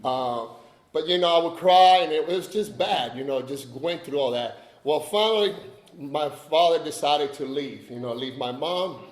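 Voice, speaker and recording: A man's voice: fairly high pitch, moderate pace. Chatty man in an echoey hall. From Cuba. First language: Spanish. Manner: chatty, joking asides to the audience. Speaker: AA speaker. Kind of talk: personal recovery talk.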